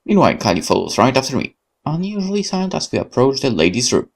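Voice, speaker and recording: A man whose first language is Polish, hosting a let's play and reading a horror visual novel aloud with lively asides and self-corrections. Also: medium pitch, fast, vocal fry.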